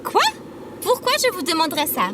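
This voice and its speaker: A woman's voice: very high-pitched, sing-song, moderate pace, noisy background. A woman playing a small, prim female robot companion in an audio drama, speaking formally and scolding with exasperation. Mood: indignant, explaining.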